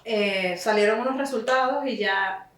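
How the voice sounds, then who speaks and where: A Venezuelan woman in her thirties, a music teacher telling her story in an unhurried way, with frequent "este" fillers. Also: on the high side, a bit bright, a little hiss or hum. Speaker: guest, violist and music teacher. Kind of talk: relaxed conversational storytelling. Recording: roomy echo, distant mic, faint music.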